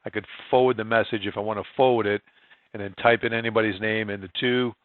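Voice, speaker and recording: A man with a fairly low voice talks through a software tutorial step by step. His delivery is informal and plain-spoken, with little rise and fall in pitch. The sound is dry and close, with no room around it. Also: fairly even intonation, quick pace, dry recording, phone-quality sound. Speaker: software trainer. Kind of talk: screen-share software tutorial narration.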